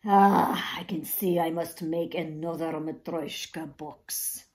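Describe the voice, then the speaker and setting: A woman's voice: sits low, fairly even intonation, slow, darkish tone. A woman voicing an exasperated, dramatic old grandfather in a storybook, with theatrical exclamations and sighs. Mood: mock weary.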